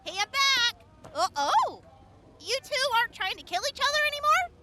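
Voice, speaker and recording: A bubbly gadget inventor from an audio drama. Her voice is extremely high and leaps about in pitch. She sounds eager, teasing and full of pep. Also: big pitch swings, moderate pace, loud music behind the voice. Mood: playful. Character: peppy, excitable, teasing female gadget inventor.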